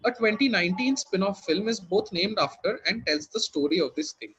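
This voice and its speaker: A man hosts a quiz in a high, husky voice. He reads out long, formally worded questions and rules briefly on contestants' answers. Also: lively intonation, a little fast, a bit bright, dull audio. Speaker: quiz master.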